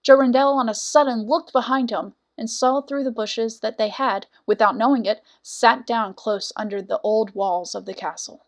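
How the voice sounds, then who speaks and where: Woman reading a fairy tale audiobook, highish voice, dramatic careful phrasing. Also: fairly even intonation, moderate pace, hardly any pauses. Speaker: storyteller, audiobook narrator. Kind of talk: scripted fairy tale narration.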